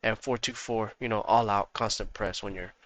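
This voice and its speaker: A man hosting a gaming channel, walking viewers through his tactics in a casual, rambling way that repeats itself, his voice slightly hoarse from being sick. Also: on the low side, steady tone, moderate pace, fairly bright tone, dry recording. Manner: rambling, repeats himself, talks straight to viewers.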